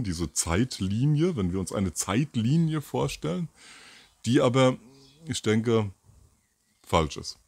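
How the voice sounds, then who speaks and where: A man's voice: on the low side, a little mellow, dry recording. Middle-aged guy rambling outside in his garden, lots of ums, dry close sound. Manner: rambling, many fillers and self-corrections. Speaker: host of a commentary show. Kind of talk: casual solo commentary. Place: outdoors by a garden fence. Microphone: furry windscreen mic near camera.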